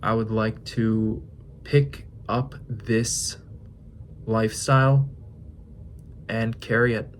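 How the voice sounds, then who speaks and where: A man in his twenties talking through his thoughts in a casual vlog, musing with pauses and restarts. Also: lowish voice, steady tone, slight background noise. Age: 20s. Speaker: fitness vlogger. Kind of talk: reflective casual vlog monologue.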